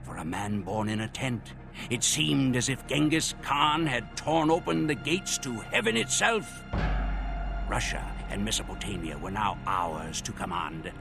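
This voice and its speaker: A man narrating a war campaign in solemn, measured scripted narration for game cutscenes. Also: lowish voice, animated, gravelly. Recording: game cutscene audio with loud music.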